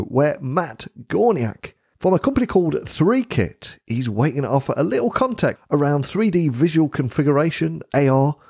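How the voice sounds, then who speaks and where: A man's voice: medium pitch, a little mellow, slightly rough. A man hosting a podcast, delivering upbeat, scripted-sounding introductions and questions. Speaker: podcast host. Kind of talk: podcast interview.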